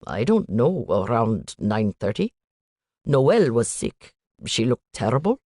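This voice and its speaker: A woman voicing a polite young male student who speaks softly with a questioning lilt. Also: very deep voice, very animated, a little slow, no echo, clean studio sound, voice pushed down, full character voice. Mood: concerned.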